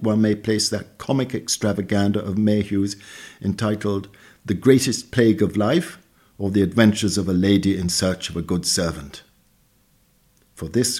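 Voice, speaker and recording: A man reads a scripted literary essay in a measured, bookish way. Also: low voice, darkish tone, husky. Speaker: reader of a magazine essay. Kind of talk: scripted narration, read essay.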